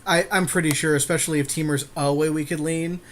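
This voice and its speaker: A man's voice: a little high, fairly even intonation, quick pace, a little hiss or hum. A man co-hosting a podcast, chatting casually with his partner while drafting a card game. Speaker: podcast co-host.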